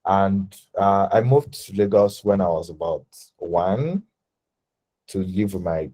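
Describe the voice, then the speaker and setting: A young Nigerian man in his twenties talking about his life and design work in a relaxed interview, full of fillers and self-corrections. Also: low voice, takes it slowly. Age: 20s. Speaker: designer guest. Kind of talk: casual interview talk.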